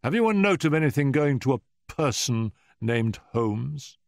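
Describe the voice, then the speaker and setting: A man's voice: medium pitch, animated, normal speed, creaky, a little mellow, no echo, studio quality, pitched above the performer's own voice. A man voicing a malicious, irascible elderly professor in an audiobook story, with a pompous, cutting manner. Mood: angry. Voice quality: screaming at peaks.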